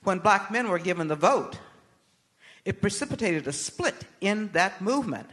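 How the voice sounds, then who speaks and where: Older American woman, low-pitched and measured. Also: animated, fairly bright tone. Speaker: interview guest, political activist. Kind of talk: live on-stage interview answers.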